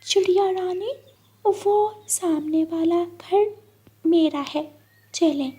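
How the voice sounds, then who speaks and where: A woman's voice: very high voice, slow pace, mellow, pitched above the performer's own voice. A woman voicing a small, delicate female cartoon butterfly, sweet and plaintive. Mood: sweet, childlike. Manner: pleading, plaintive cries for help.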